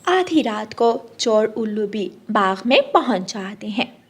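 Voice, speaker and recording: A woman's voice: mid-range voice, sing-song, measured pace, a little hiss or hum. A woman narrating a scripted children's cartoon story as the storyteller.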